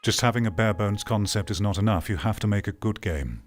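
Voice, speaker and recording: Blunt, low-pitched British man. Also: a little fast, soft background music. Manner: blunt, sardonic. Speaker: commentator reacting to a video. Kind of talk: casual critical reaction commentary.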